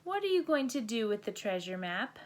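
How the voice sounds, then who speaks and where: A woman's voice: on the high side, sing-song, normal speed. A woman voicing a curious young boy bear cub in a storybook, eager and questioning.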